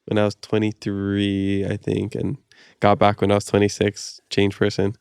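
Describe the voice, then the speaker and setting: A podcast host from Hawaii, a man whose first language is Hawaiian, talks in a loose, rambling way. His voice sits a little low and comes across smooth and resonant. The sound is dry and close. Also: completely flat delivery, normal speed, darkish tone, no room sound. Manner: loose and rambling, full of "like" and "you know". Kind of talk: casual chat.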